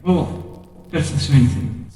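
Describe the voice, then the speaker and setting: A man's voice: a little high, takes it slowly, dark tone, faint music behind, pitched above the performer's own voice. A man voicing a playful, easily distracted dog with an eager, simple way of talking in a tabletop game. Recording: roomy, distant mic with faint noise.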